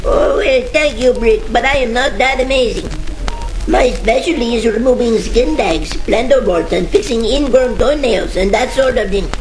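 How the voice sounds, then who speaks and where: Comic surgeon, female actor: effusive, tactless, wordy, raised pitch, vintage radio parody with music clearly behind. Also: normal speed, darkish tone, natural voice. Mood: cheerfully tactless. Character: gushing, tactless, cheerfully incompetent foreign-named surgeon. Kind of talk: comic old-time radio parody acting. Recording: roomy, music behind, old radio crackle.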